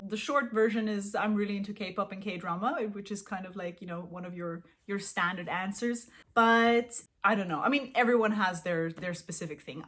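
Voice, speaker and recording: A woman's voice: medium pitch, a bit bright. Chatty woman vlogging, giggles a lot, lots of ums, mid-height voice. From Austria. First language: Austrian German. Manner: chatty, giggly, self-deprecating, lots of fillers. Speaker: language-learning vlogger. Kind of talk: casual chat to camera.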